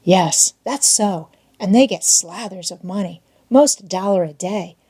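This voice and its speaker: A woman's voice: mid-range voice, measured pace, warm tone, breathy edge. A woman voicing an eager, boastful young schoolboy in love in an audiobook, with folksy old-fashioned rural American wording and a wheedling manner. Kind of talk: audiobook character voice.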